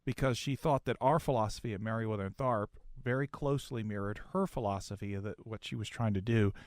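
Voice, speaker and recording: A man's voice: fairly low pitch, fast talker, a little mellow, no room sound. Male radio host, dry close sound. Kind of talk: conversational radio interview.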